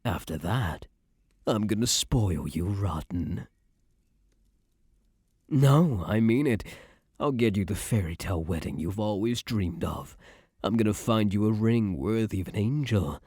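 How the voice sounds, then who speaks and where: A man's voice: lowish voice, animated, measured pace, darkish tone, husky, no room sound, clean studio sound. A man playing a doting young mafia heir in an intimate ASMR boyfriend roleplay, speaking with tough, streetwise affection and self-assurance. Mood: earnest, devoted, then tender, promising.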